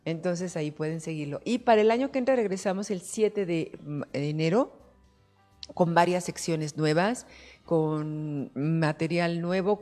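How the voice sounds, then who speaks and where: Woman talk host, lower voice, emotive and affectionate. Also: a little mellow. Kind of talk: talk show interview.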